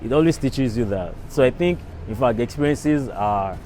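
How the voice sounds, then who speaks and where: A Nigerian-accented man giving an unscripted, somewhat halting opinion. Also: medium pitch, lively intonation, warm tone, a little hiss or hum. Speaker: street interviewee.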